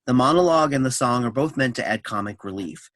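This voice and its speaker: Man, mid-pitched voice, scripted narration, stage directions, close dry recording. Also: animated, fast talker, a bit bright, dry recording.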